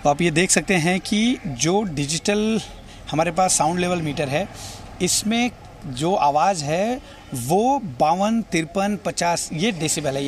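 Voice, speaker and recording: Male field reporter, high-pitched voice, explanatory on-location delivery, clearly noisy city exterior. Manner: explanatory, demonstrative, addressing viewers directly. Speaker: television news field reporter. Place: outdoors on city streets. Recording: noisy outdoor urban location with traffic.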